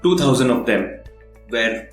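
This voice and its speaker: Man, podcast interviewer, halting, thinking aloud, mid-pitched, roomy echo, faint music. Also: expressive. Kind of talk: conversational interview.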